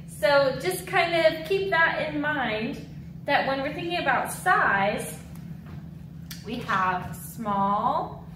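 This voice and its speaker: A woman in her thirties teaching a dance lesson to children, with an animated, encouraging, clearly enunciated delivery. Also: fairly high pitch, background noise. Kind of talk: instructional video lesson for children. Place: large mirrored dance studio indoors.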